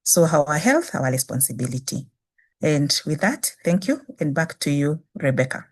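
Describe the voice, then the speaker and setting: A woman with a Kenyan accent, a native Swahili speaker, giving a measured webinar presentation on nursing with frequent restarts. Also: deep voice, very animated. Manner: measured, repeats phrases with restarts. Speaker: guest speaker, nursing dean.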